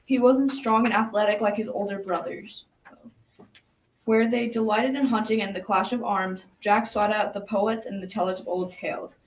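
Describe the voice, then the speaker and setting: A folktale storyteller voiced by a teenage girl reading scripted narration for a school radio drama. Her mid-pitched voice sits away from the mic in a very echoey room with faint noise. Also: steady tone, normal speed, recorded from a distance, close to an everyday voice. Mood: measured telling.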